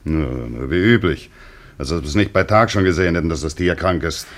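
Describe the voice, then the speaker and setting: An actor playing a middle-aged village vet and father in a German radio play, measured and matter-of-fact, patient with children. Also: deep voice, close to an everyday voice. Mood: brisk, businesslike.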